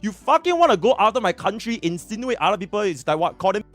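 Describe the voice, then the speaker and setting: A man doing a brash Singaporean hothead, thick Singlish accent, raised pitch, lively swinging voice, shouted insults with clipped tags, faint music behind. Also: fast talker. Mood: ranting.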